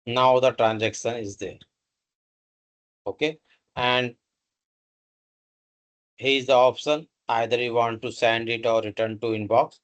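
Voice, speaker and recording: A man narrating a step-by-step software tutorial in a halting, instructional way, with pauses between short phrases. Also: medium pitch, fairly even intonation.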